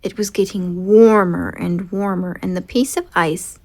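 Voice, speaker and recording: A woman reading a picture story aloud for children in an expressive narrating style. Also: fairly low pitch, unhurried. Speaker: storybook reader.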